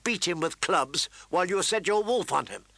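A man's voice: high voice, lively intonation, normal speed, gravelly, pushed and bright, no echo, pitched above the performer's own voice, exaggerated voice. A man voicing a haughty, hostile queen with an indignant, accusing manner in a storybook reading. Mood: cold accusation.

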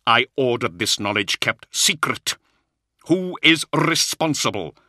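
A gaunt, brooding lord of knights, acted out by a male narrator. His low voice is rough and worn, and he speaks slowly and gravely, as a commander in a dark audiobook tale. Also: animated, no room sound, clean studio sound, heavily acted. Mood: stern, demanding. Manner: slow, deliberate, grave. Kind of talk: audiobook character acting.

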